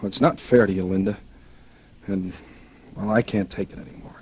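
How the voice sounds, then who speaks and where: A man's voice: lowish voice, steady tone, normal speed, a little mellow, close to an everyday voice. An actor playing a cynical, scheming male writer in a 1940s radio drama, talking in a colloquial, calculating way. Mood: feigned reluctance. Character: smooth scheming male writer, cynical opportunist courting a dying heiress. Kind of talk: 1940s radio drama acting, first-person noir narration. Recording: noisy vintage radio recording.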